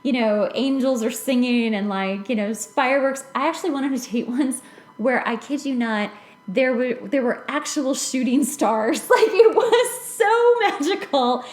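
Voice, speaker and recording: A woman hosting a casual, chatty podcast, telling stories in an animated way with giggly asides. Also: sits high, moderate pace. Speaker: podcast host. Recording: roomy, echoing podcast recording.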